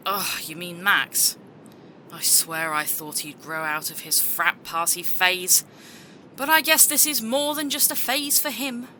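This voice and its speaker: A woman playing a brash, sharp-tongued secret agent in an audio drama, with a blunt manner. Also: lowish voice, a little slow, slight background noise, low-quality recording. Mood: annoyed. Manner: blunt, challenging.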